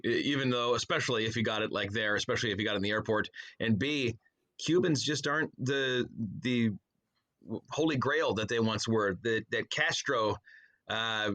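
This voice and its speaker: A man's voice: medium pitch, a bit bright. An American man chatting casually as a podcast guest, with a wry, joking manner. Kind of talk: casual chat.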